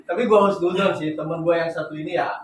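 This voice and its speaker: A young man chats casually as a co-host, with a jokey, mock-preachy bent. His voice is high, picked up from a distance in a very echoey space with noisy ambience. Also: fairly even intonation, quick pace. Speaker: talk show co-host. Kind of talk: casual banter.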